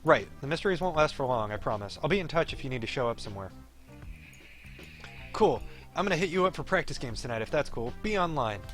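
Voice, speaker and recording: A man streaming a game, reading its text aloud in an expressive, wry way with casual asides. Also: on the high side, quick pace, faint music behind. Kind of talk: live playthrough, narrating text with casual asides.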